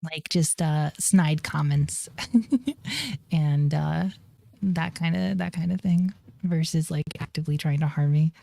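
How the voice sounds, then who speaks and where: A woman in a group discussion, casually recounting personal experience in a halting, informal way. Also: sits low, gravelly, dark tone, no room sound.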